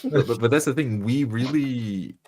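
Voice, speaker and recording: A man's voice: medium pitch, moderate pace, noisy background. A man chatting casually and eagerly as a game player, often repeating how he starts a phrase. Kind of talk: casual chat.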